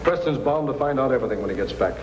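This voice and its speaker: A man's voice: medium pitch, lively intonation, very fast, a little mellow, slight background noise, close to an everyday voice. A man playing an anxious, complaining crook, a reluctant henchman, in an old-time radio adventure. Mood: worried. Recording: echoey, faintly humming old radio recording.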